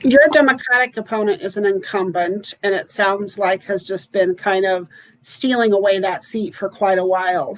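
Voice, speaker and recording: A woman's voice: mid-range voice, no room sound, low-quality recording. A woman in her forties hosting a live political roundtable from home over a video call, chatty and upbeat with many fillers. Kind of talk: live webcast chat. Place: home room with shelves behind. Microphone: webcam on a video call.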